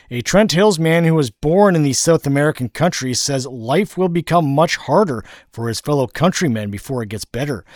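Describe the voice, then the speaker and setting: A man's voice: a little high, dry recording, studio quality. A man reading a scripted news report as a reporter.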